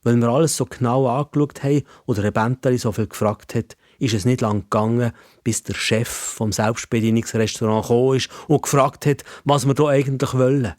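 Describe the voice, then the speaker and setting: A man's voice: mid-range voice, steady tone, a little fast, few pauses, a little mellow, no echo, clean studio sound. A man with a Swiss accent telling a scripted story for children as narrator.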